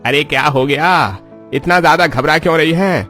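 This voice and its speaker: A man playing a kindly elderly village priest in a dramatized moral story, speaking in a fatherly, grave and expressive way. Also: fairly high pitch, moderate pace, faint music behind. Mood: puzzled, concerned.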